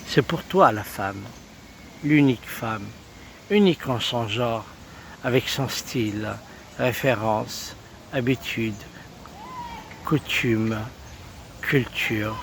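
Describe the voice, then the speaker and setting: A man reciting love poetry in a solemn, lyrical way, in short halting phrases. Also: mid-range voice, a little hiss or hum. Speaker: poet reciting own poems.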